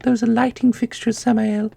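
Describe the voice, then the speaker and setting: A woman playing a commanding celestial heroine in a fantasy audio roleplay, with a breathy, creaky voice. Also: fairly high pitch, level tone, moderate pace, mellow, heavily acted. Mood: dry. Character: commanding celestial woman searching for her lost grandmaster sibling.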